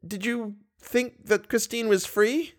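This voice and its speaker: A man's voice: high voice, fairly even intonation, takes it slowly, pitched above the performer's own voice. A man reading aloud, voicing a kindly, bedridden old woman who speaks with simple, cheerful faith. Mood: earnest.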